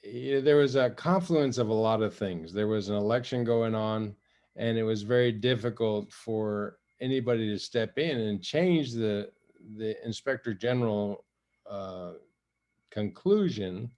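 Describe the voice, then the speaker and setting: An older man from Africa, Swahili his first language, telling his story in an interview in a measured way, often restarting and laughing lightly. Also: on the low side, a little mellow. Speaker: guest, retired general and envoy. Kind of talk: interview conversation.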